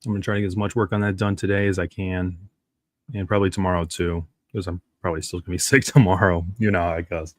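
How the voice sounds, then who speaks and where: A man with a low voice and a stuffy, congested nose talks in a loose, rambling way on a live reaction stream. The sound is close and dry, with no room around it. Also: little pitch movement, quick pace, no room sound. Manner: rambling, chatty, reads comics aloud.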